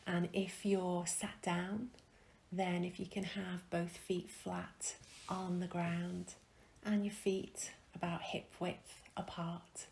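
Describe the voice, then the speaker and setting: A woman leading a guided meditation in a gentle, calm, soft and breathy voice, with long pauses, often trailing into a whisper. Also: fairly low pitch. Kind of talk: guided meditation, soothing instruction.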